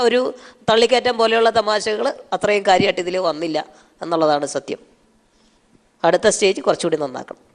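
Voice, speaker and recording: A man speaks as a judge on a comedy show. His voice sits at a medium pitch, and he delivers his critique off the cuff, pausing and searching for the next phrase. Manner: halting, searching for words. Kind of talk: unscripted judging feedback.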